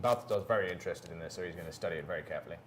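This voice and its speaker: A man playing a tabletop game with casual, animated banter. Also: deep voice, rapid-fire, slight background noise.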